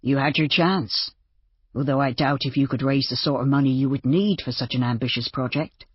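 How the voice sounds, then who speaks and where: A narrator voicing a cool, self-assured, mocking gentleman landowner in audiobook dialogue, casual and unruffled. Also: medium pitch, moderate pace, husky, no echo, lo-fi sound, lightly acted. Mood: smug, assured.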